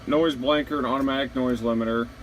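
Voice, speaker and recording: A man giving a casual hands-on gear walkthrough, plain-spoken and halting, pausing between short phrases. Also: mid-range voice. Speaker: truck driver showing his CB radio setup. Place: inside a truck cab. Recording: steady vehicle and air-conditioning noise behind.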